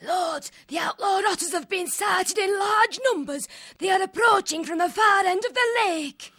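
A man voicing a female wildcat captain giving an urgent, shouted report. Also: extremely high pitch, moderate pace, pitched above the performer's own voice, exaggerated voice.

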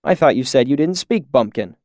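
A man's voice: mid-range voice, expressive, a little fast, darkish tone, dry recording, clean studio sound, natural voice. A man voicing a wry, self-possessed young woman in an audiobook story.

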